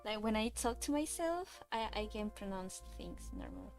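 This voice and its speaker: A woman's voice: medium pitch, very animated, soft background music. A woman whose first language is Cantonese, chatting casually and hesitantly while streaming herself drawing. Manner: hesitant, nervous, halting with restarts. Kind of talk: casual livestream chat.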